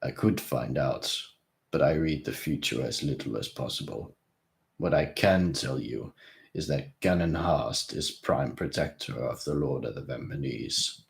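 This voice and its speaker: Man doing a solemn seer, very deep and slow, deliberate. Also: creaky, full character voice.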